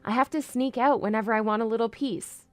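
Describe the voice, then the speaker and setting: Woman acting a spirited young princess, higher-pitched, music behind. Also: fairly even intonation, brisk, no room sound, lightly acted. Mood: wistful, earnest. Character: spirited young princess posing as a lady's maid. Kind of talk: fan audio drama acting.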